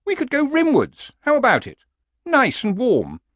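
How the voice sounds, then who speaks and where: A man's voice: very high-pitched, huge pitch swings, measured pace, fairly bright tone, no echo, clean studio sound, voice pushed up, heavily acted. A man voicing a talking horse, a fretful and sensible companion who nags and reasons in a plaintive way, in a storybook reading. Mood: nagging, coaxing.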